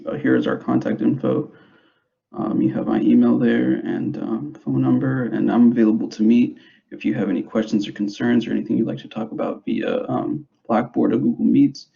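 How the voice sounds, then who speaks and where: A young man who grew up in the United States, giving an informal presentation to parents in a hesitant, halting way, on a dull, muffled recording. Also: medium pitch, steady tone, gravelly. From grew up in the United States, born in El Salvador. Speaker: school substance abuse prevention specialist.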